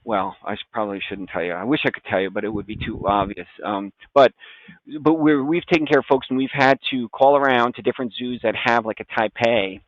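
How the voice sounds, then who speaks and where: An American man talking casually as a medical expert guest, with halting, stop-start, conversational phrasing. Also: mid-range voice, no room sound, dull audio.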